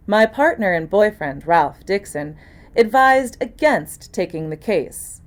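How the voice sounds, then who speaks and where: A woman actor reading scripted first-person mystery narration, as for an audiobook. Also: on the low side.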